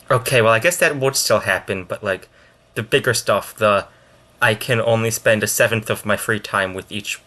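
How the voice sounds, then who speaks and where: A man giving casual, unscripted reaction commentary as a video host, in a halting, rambling way with thoughts that trail off. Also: on the low side, pushed and bright.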